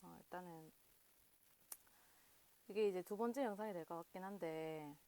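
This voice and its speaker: A woman's voice: fairly low pitch, normal speed, dark tone, poor audio. A woman talking casually to camera in a Korean regional dialect, rambling with many fillers and frequent laughs. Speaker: video host.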